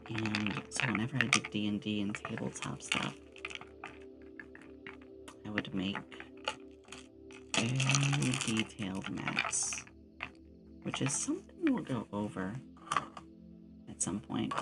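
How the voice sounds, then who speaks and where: A woman's voice: rumbling low, big pitch swings, slow pace, pauses often, over loud music. A woman chatting casually on an ASMR livestream, rambling with playful asides, close on a binaural microphone. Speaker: streamer and game master.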